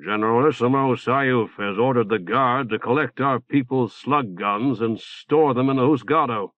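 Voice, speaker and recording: A man's voice: mid-range voice, moderate pace, no room sound, phone-quality sound, lightly acted. A tense army colonel giving an earnest report in an audiobook novel, read by a male narrator. He is direct and serious.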